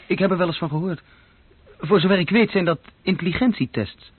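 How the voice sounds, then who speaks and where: A man's voice: high-pitched, a little fast, slightly rough, no echo. An actor playing an earnest, slightly awkward young office worker courting a girl in a radio drama. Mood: eager, curious. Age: young adult. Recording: narrow-band old radio play recording, very dry.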